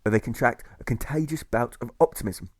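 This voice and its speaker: A man reading a scripted rhyming audiobook in rhythmic, measured phrases, landing on each rhyme. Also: mid-range voice, dry recording, clean studio sound. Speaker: author reading own audiobook.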